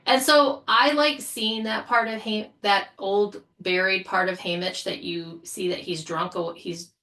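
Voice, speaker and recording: A woman guest on a casual podcast panel, thinking aloud in an animated, informal way. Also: medium pitch, unhurried. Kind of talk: casual chat.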